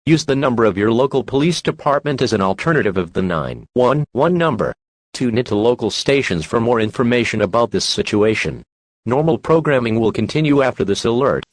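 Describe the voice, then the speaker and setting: A man's synthetic-sounding voice reading a formal emergency bulletin as an announcer, flat and impersonal. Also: mid-range voice, brisk, dry recording.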